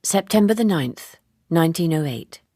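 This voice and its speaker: A woman reading literary fiction as an audiobook narrator, in a measured, articulate and wry way. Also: low voice, lively intonation, warm tone, dry recording, clean studio sound. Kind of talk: expressive literary scripted narration.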